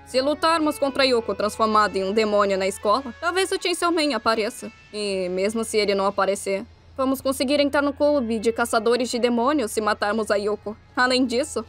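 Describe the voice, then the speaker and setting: A fairly high-voiced Brazilian schoolgirl possessed by a devil as a woman acts her, in an anime-style dub. Her delivery is blunt and questioning, with music behind it. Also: close to an everyday voice. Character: troubled schoolgirl and cold devil sharing her body. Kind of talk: anime-style fan dub of a motion manga.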